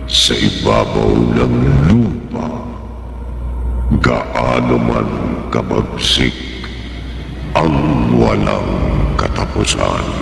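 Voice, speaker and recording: A man's voice: gravelly, noisy background. A man narrating the opening and closing of a radio horror drama in a solemn, dramatic style. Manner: slow, solemn, booming. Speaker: narrator and announcer of the drama series. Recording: echoey, reverberant announcer voice over music.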